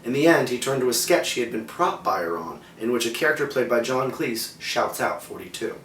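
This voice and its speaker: A man's voice: medium pitch, expressive, a little fast, fairly bright tone. A man co-hosting a geek culture explainer, presenting partly scripted material in a casual way. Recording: roomy, distant mic, faint background noise.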